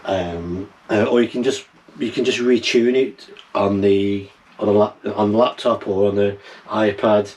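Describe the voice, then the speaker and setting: A middle-aged man chatting casually and informally to camera, explaining things in a halting way. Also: on the low side, steady tone, darkish tone, highs cut off. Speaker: vlogger, amateur radio hobbyist. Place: small wooden cabin, indoors. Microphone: camera a few metres away. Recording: distant camera mic, noisy room.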